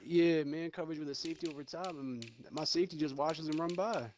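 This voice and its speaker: A man's voice: a little high, quick pace, slight background noise. A man in a casual group call, complaining bluntly and cursing about a game. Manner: blunt, profane, animated complaining.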